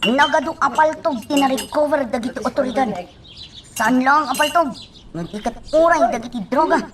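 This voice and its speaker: A woman's voice: high-pitched, normal speed, a crowd in the background, lightly acted. A woman playing an indignant, outspoken young villager in a radio drama, speaking with earnest emphasis. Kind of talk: Ilocano radio drama acting.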